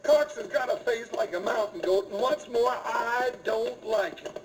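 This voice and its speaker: A man's voice: very high voice, unhurried. An American man playing a grumbling, blustery comic cowboy sidekick in a radio western, folksy and exaggerated. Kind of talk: 1950s radio western acting.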